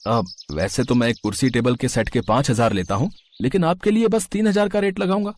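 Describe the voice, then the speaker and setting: A man voicing a poor but shrewd carpenter father, coaxing and ingratiating, in an animated moral story. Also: medium pitch, fairly quick, lightly acted. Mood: coaxing, persuasive.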